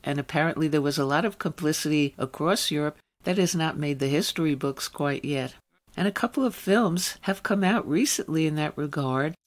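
A woman's voice: deep voice, moderate pace. Woman hosting an arts radio show. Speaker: radio host, interviewer. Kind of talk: radio interview and scripted links.

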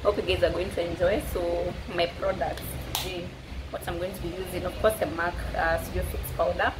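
A woman's voice: on the low side, level tone, brisk. A woman in her thirties with a Kenyan accent, chatting casually and informally to camera as a makeup artist. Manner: informal, mixes Swahili into English. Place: indoors against a plain dark wall. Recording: clearly noisy background ambience.

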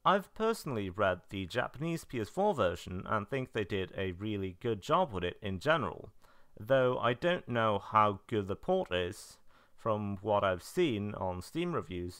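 A man narrating a semi-scripted review in a matter-of-fact way, with dry, deadpan asides. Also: lowish voice, moderate pace, clean tone, dry recording. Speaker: video narrator reviewing game releases.

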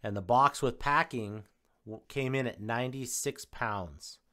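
A man with a mid-pitched voice presents a product unboxing in a casual way. He speaks off the cuff, rattling off specs in short, informal phrases. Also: measured pace, bright, forceful tone. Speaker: home theater installer presenting a product unboxing. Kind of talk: casual unboxing and spec review.

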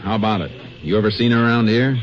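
An actor playing a terse, determined American Texas Ranger in a matter-of-fact 1950s radio drama style. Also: male voice, lowish voice, quick pace, close to an everyday voice. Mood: businesslike. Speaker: actor, lead and narrator. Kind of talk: 1950s radio drama acting and first-person narration. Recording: muffled old radio recording, music cues.

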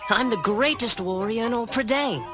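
A man's voice: very high voice, moderate pace, over loud music. A young man voicing an eager, impetuous teenage farm boy in a storybook drama. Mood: excited, urgent. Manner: earnest, excitable.

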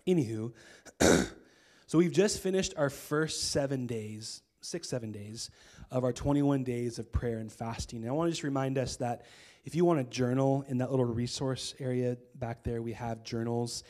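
A man in his thirties with an American accent preaching a sermon on a church stage into a handheld mic, his voice hoarse from a cold, casual and conversational with many fillers. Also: medium pitch, normal speed, a little mellow. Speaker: pastor. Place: church stage with string lights.

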